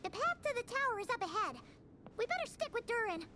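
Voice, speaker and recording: A woman voicing a tiny, excitable childlike fairy girl in a video game, squeaky and full of exclamation. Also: fast talker, noisy background. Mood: curious, grumbling. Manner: exclamatory, expressive.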